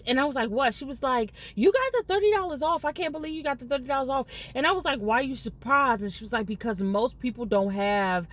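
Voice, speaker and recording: An American woman in her late thirties chatting casually to viewers as a vlogger, telling stories in an animated way and re-enacting conversations. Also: a little high. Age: late 30s. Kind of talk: casual storytelling chat to viewers.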